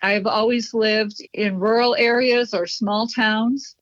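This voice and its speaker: A woman's voice: on the high side, fairly even intonation, slow, dry recording. Dry, muffled middle-aged woman storyteller. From Chicago, USA. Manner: chatty, reminiscent, light laughs. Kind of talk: conversational interview storytelling. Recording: dull, slightly muffled, dry.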